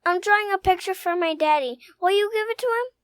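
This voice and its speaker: A woman's voice: very high voice, a little fast, no echo, studio quality. A woman voicing a polite, eager little boy in a radio soap opera. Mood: polite, childlike. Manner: polite, obedient.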